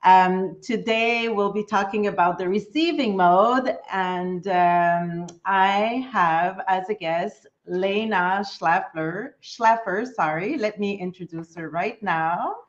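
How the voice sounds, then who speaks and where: A woman's voice: mid-range voice, slow, few pauses, dull audio. A woman with a French Canadian accent hosting an interview, encouraging her guest with affirming murmurs. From Quebec and Trinidad parents. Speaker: host.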